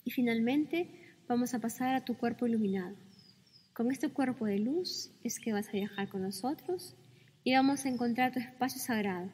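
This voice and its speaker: A woman leading a guided meditation, speaking calmly and soothingly with gentle pauses between phrases. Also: on the high side, slightly rough. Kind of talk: guided meditation, slow spoken instructions. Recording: long reverb with music behind.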